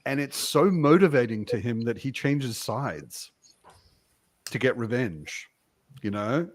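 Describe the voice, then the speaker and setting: A man's voice: mid-range voice, expressive, takes it slowly, no echo. Man chatting casually, dry close sound. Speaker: book discussion panelist. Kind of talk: casual chat.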